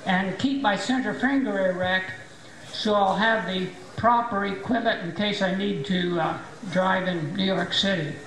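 A man in his seventies doing stand-up comedy on a small tavern stage with a handheld mic. He has a squeaky, high voice after voice surgery and tells dry, self-mocking jokes. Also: fairly even intonation, unhurried, fairly bright tone, slight background noise. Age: 70s. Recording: echoey bar room with crowd.

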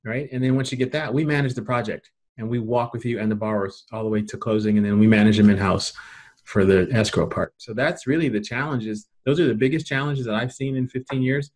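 A man's voice: fairly low pitch, low-quality recording. A middle-aged man with an American accent, presenting an informal training webinar in a plain-spoken, colloquial, explanatory way.

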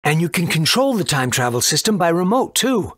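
A man's voice: a little high, sing-song, brisk, a bit bright, no room sound, clean studio sound. A man playing a helpful mentor in a video game, speaking in an instructive way.

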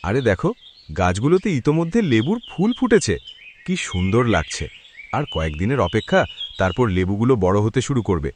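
An actor playing a friendly, hardworking village farmer in a cartoon. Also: male voice, on the low side, fairly quick, a little hiss or hum. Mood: cheerful. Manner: friendly, animated. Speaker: farmer Faisal. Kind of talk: cartoon voice acting.

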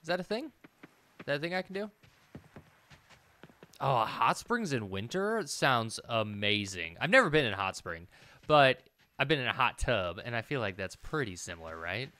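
Bright-voiced man casually musing over a game. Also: mid-range voice, sing-song, moderate pace. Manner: casual, muttering to himself, musing. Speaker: gaming video host. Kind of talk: casual let's play commentary, thinking aloud.

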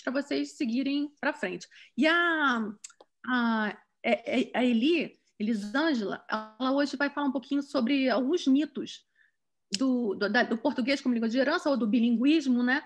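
Female host, high voice, halting delivery with restarts, laptop mic on a video call. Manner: hesitant, many restarts and fillers. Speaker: event host and moderator. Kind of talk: moderating a community video call.

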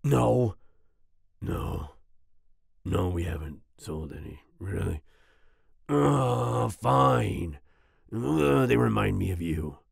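A man playing a big, grumpy werewolf boyfriend with a growling, husky voice and a soft side, in an ASMR roleplay. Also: fairly low pitch, sing-song, no room sound, studio quality, full character voice. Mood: defensive, flustered. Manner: grumbling, slow, with grunts and growls.

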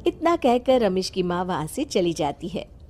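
A woman narrating a moral cartoon story in a plain, even storytelling manner. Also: medium pitch, moderate pace, faint music behind.

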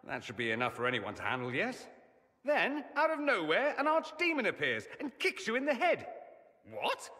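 A man's voice: sits high, huge pitch swings, moderate pace, bright, forceful tone, big-room sound, exaggerated voice. An actor playing a witty, vain and charming young mage nobleman in video game dialogue, teasing and theatrical in manner. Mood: playful. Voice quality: arch, ironic wit. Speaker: mage companion.

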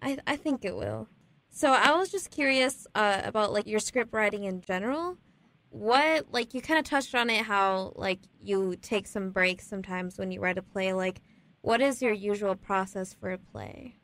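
A woman's voice: mid-range voice, normal speed, a bit bright. A woman interviewing casually for a radio show, with tentative, chatty phrasing full of little hedging words. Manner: tentative phrasing, says "like" often.

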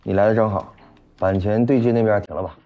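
An actor playing a blunt, impatient middle-aged company boss in a screen drama. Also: male voice, on the low side, fairly even intonation, fast talker, lo-fi sound, close to an everyday voice. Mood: brusque, displeased. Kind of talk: naturalistic screen drama acting.

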